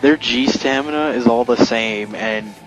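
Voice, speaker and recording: A man's voice: mid-range voice, unhurried, faint music behind, rough recording. A man giving casual group commentary on a video game, explaining things in a rambling, hesitant way. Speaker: gaming commentator.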